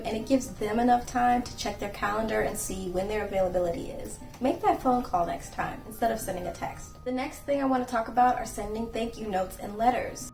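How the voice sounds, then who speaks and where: A young woman hosting her own channel, giving earnest, gently instructive etiquette advice and acting out sample phone calls. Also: a little high. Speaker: channel host and etiquette creator. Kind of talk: casual etiquette advice vlog. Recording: echoey room, voice from a distance, faint music.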